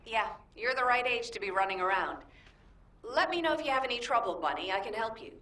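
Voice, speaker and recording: An actress voicing a composed, purposeful female field operative in a video game, speaking in a measured, matter-of-fact way. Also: on the high side, lively intonation, bright, forceful tone. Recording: echoey room, distant from mic.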